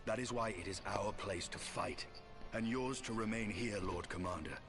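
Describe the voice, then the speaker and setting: A low-pitched, proud dragoon, acted by a man, resolute and stern. He speaks with grave, old-fashioned formality, like a fantasy game hero, over prominent music. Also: moderate pace, lightly acted. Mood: stern, forceful. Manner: grave, formal archaic speech. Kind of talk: fantasy video game cutscene acting.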